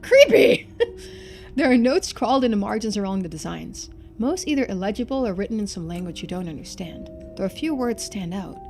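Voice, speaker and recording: A woman streamer reading a story game aloud in expressive narration, with casual asides. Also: medium pitch, fairly quick, faint music behind.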